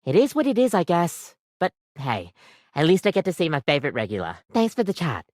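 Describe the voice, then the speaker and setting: A woman's voice: low-pitched, very animated, fairly quick, darkish tone, no room sound, studio quality. A woman voicing an overworked, apologetic barista in a video game, chatty, rambling and self-deprecating, with a weary devotion to the job. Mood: friendly, wry. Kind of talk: video game character acting, conversational.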